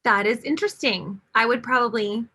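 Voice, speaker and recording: A woman's voice: a little high, fairly quick, noisy background. A woman hosting a book club discussion over a video call, chatty and full of fillers.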